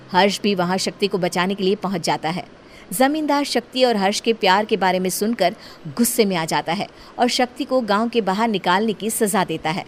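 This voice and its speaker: A woman narrating a cartoon horror story in a steady, plain storytelling manner. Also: medium pitch, a little hiss or hum.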